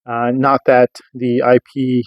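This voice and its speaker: Man, American accent, mid-range voice, little pitch variation, casual reviewer, rambling informal explanations, dry close sound. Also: monotone, slow, no room sound, highs cut off. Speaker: radio reviewer. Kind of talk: casual product review monologue.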